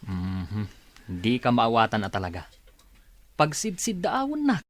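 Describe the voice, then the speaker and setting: A man's voice: mid-range voice, huge pitch swings, unhurried, no room sound. A man playing a suspicious, teasing brother in a radio drama, probing as he talks. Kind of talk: melodramatic radio drama acting.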